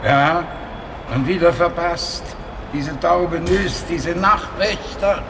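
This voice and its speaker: A scornful kiosk vendor in a radio drama. He grumbles slowly in a gravelly, raised voice, heard from a distance in a reverberant, noisy hall. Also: fairly high pitch. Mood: grumbling. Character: grumbling male newspaper kiosk seller, scornful of police. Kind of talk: 1980s radio play acting. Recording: distant, echoey hall with background noise.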